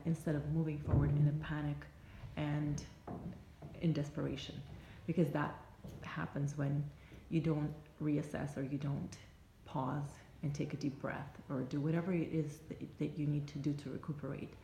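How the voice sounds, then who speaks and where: A native Russian-speaking woman with a Russian accent, giving reflective advice to camera in a halting, thoughtful way with pauses mid-sentence. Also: low-pitched, flat delivery, gravelly, warm tone. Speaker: life coach and vlogger. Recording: roomy echo, faint background noise.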